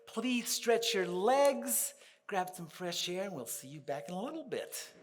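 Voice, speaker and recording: High-voiced, playful male pianist. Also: big pitch swings. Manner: playful, chuckles often, dramatic pauses. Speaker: pianist and composer addressing a concert audience. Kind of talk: informal stage talk and poem recitation. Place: concert hall stage. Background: faint audience laughter.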